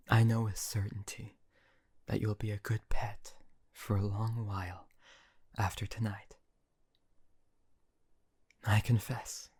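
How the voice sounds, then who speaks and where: A man playing a silky, husky, possessive emperor. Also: fairly low pitch, exaggerated voice. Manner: silky, condescending, softly whispering. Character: possessive, cruel yet doting male emperor. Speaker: audio roleplay performer. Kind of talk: audio roleplay acting.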